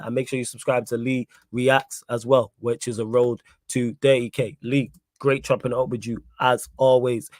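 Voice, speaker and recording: Young man, stream host, reads chat, mid pitch, dry close mic. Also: a little mellow, no room sound. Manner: hypes viewers, reads chat messages aloud. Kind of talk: casual live stream chat.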